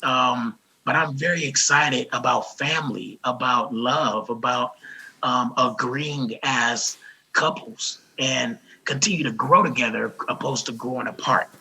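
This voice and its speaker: A man with a noticeably raspy, husky voice that still cuts through bright and forward. He talks earnestly and gratefully as an interview guest, restarting phrases as he goes. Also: medium pitch, a little slow, low-quality recording. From Los Angeles. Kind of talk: casual interview talk.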